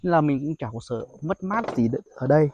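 A man's voice: a little high, animated, fairly quick, highs cut off, slight background noise. A young man, chatting casually to viewers on an outdoor phone vlog, with lots of exclamations. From Vietnam. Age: young adult. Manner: chatty, addresses viewers as brothers, frequent exclamations. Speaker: vlogger. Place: outdoors among rice paddies and hills. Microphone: phone held at arm's length.

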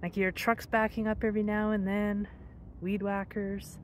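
A woman's voice: mid-range voice, little pitch movement, moderate pace. Woman vlogging outdoors, faint background noise. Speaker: hiking vlogger. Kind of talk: casual vlog chat. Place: outdoors on hillside forest trails. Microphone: handheld phone at arm's length.

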